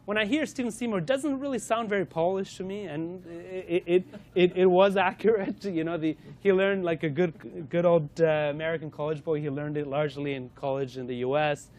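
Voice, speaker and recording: A man's voice: on the high side, fairly even intonation, fairly quick, few pauses, a little mellow, a little hiss or hum. A man presenting a corporate lunch-and-learn to a live audience, running a playful quiz and talking with frequent fillers and restarts.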